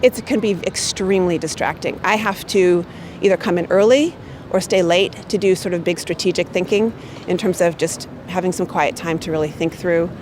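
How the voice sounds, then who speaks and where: A loud-voiced American woman talks freely about her workplace in an interview. She circles back and restarts her thoughts, filling gaps with "you know." Faint street noise sits in the background. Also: fairly low pitch, normal speed. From United States. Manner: chatty, many fillers and restarts. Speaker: corporate marketing manager. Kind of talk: unscripted interview answer.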